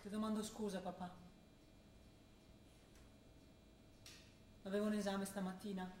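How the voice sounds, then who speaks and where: An actress playing a young student daughter in a stage drama, earnest and patient, with a Trentino northern Italian accent. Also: female voice, mid-range voice, flat delivery, quick pace, dark tone, a little hiss or hum, close to an everyday voice. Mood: subdued, apologetic. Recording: roomy theatre sound, music behind. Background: music.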